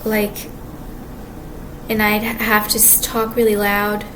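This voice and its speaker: Quiet-voiced woman rambling to camera. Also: medium pitch, monotone. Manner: rambling, halting, many restarts and fillers. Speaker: vlogger. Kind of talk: casual monologue to camera. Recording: clear background ambience noise.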